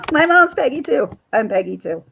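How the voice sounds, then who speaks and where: A woman with a raspy voice chatting casually to camera on her vlog, rambling and laughing often. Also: high-pitched, big pitch swings, measured pace, a bit bright, muffled recording, a little hiss or hum. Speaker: YouTube commentator.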